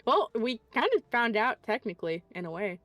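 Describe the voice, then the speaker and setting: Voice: medium pitch, expressive, a little fast, a bit bright, dry recording. Player, hesitant talker, restarts lines, dry close-miked sound. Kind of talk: casual post-session chat.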